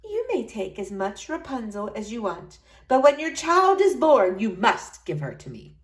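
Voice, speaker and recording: A woman voicing a possessive, menacing witch in a storybook tale, speaking in a deliberate, commanding way. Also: on the high side, big pitch swings. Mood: bargaining.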